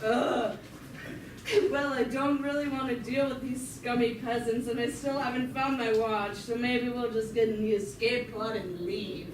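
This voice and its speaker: A woman's voice: fairly high pitch, steady tone, measured pace, pitched below the performer's own voice, close to an everyday voice. A woman playing a spoiled, indifferent queen who scorns peasants in an amateur comic puppet show. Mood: bored, dismissive. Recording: very echoey, distant, noisy room recording.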